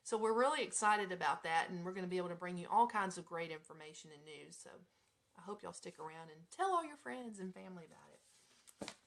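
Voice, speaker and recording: A woman's voice: on the low side, expressive, fairly quick, creaky, a bit bright. An American woman hosting a casual live radio show, speaking in an informal, chatty manner as she moves between reading items and talking to listeners. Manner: informal, chatty, breaks into giggles and asides.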